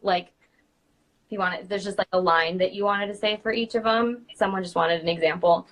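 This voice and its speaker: A young woman hosts an informal live chat in a medium-pitched voice. She is chatty and effusive, speaking loosely and conversationally as she would to a fellow performer. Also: fairly even intonation, fairly quick, poor audio. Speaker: host, princess performer.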